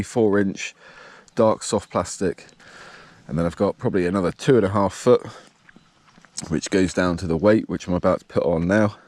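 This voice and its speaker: A man with a blocked nose and a slightly nasal voice, casually talking through his fishing outdoors by a dam in a relaxed, explanatory way. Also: low voice, no room sound. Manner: relaxed, explanatory, thinking aloud. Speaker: fishing vlogger. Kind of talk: casual outdoor vlog narration.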